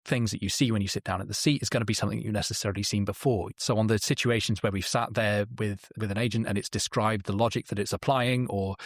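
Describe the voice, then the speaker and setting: A man's voice: fairly low pitch, dry recording, clean studio sound. A man talking as an expert guest on a podcast, explaining his reasoning in interview style.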